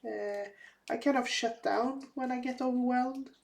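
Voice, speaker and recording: A woman talks casually as she crafts, her speech slow and unhurried. Her voice sits a little high and carries a slight rasp left over from a cold. She often restarts her sentences and fills the gaps with small hesitations. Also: steady tone. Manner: slow, halting, many fillers and restarts. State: slightly raspy, recovering from a cold. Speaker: crafting vlogger. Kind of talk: casual chat while crafting.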